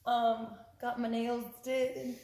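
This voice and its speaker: Slow, giggly young woman vlogging. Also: fairly high pitch. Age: young adult. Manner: giggly, relaxed. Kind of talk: casual family vlog chat. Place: home living room, indoors. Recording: echoey room with clear background noise.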